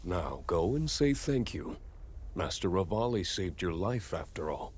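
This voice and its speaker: A man voicing a gentle, proud, good-humoured bird-man father in a video game cutscene. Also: low-pitched, big pitch swings, unhurried, noisy background. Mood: warm, amused.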